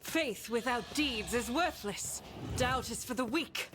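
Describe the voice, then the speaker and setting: A woman's voice: on the high side, expressive, measured pace, over loud music. A woman voicing a zealous female warrior nun in a video game, speaking in a fervent, declamatory way.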